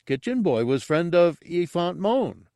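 A dim, eager brute of an alien guard. The voice is pushed high and swings widely, with childlike excitement and blunt, emphatic, broken sentences. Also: male voice, measured pace, dry recording, studio quality, heavily acted. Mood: insistent.

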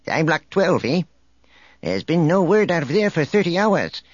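A man's voice: a little high, sing-song, normal speed, dry recording, dull audio, voice pushed up. A man playing a wary, streetwise alien news reporter in a sci-fi audiobook, talking informally like a hustler. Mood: brisk, probing.

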